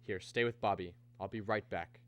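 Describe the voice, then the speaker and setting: An actor playing an anxious, earnest American family man in a mid-century radio drama, with hesitant, halting speech. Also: lowish voice, fairly even intonation, lightly acted. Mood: anxious, reassuring.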